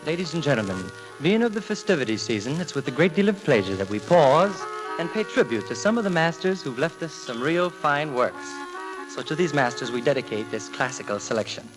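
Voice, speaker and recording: A man's voice: on the high side, big pitch swings, fairly quick, over music, poor audio. A man announcing a musical number in a mock-formal 1940s radio style. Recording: old 1940s radio recording.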